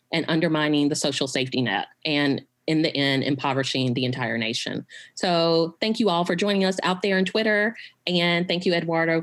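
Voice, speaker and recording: A woman hosting a conversational online interview over a video call, chatty and full of "you know" and "right?". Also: low-pitched. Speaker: host. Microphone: home video call.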